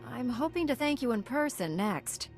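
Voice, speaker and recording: A woman's voice: on the high side, very animated, moderate pace. A woman playing a determined young warrior knight in a video game, direct and composed. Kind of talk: dramatic video game dialogue. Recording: very loud music behind.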